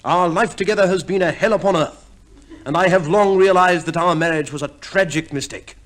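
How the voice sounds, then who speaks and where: Reluctant gentleman major, played by a man, vintage radio drama: proper, hesitant, slightly high-pitched male voice. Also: a little fast, close to an everyday voice. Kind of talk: 1950s radio play acting.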